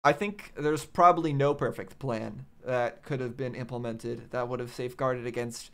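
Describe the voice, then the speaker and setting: A man giving casual off-the-cuff commentary as a reaction host, musing and halting as he thinks aloud. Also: mid-range voice, steady tone, vocal fry. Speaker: host of a game reaction video.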